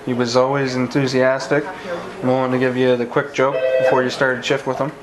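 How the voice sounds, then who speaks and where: A man's voice: mid-range voice, level tone, a little fast, rough recording. A man giving a casual interview answer in short, listed phrases, with faint room noise. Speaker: co-worker being interviewed.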